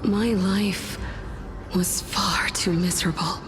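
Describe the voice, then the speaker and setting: A woman playing a courteous, world-weary dead scholar in a fantasy game, with a breathy, resigned and philosophical delivery. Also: medium pitch, fairly even intonation, slow pace, natural voice. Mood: bitter, weary. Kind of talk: dubbed fantasy game dialogue. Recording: orchestral game music behind.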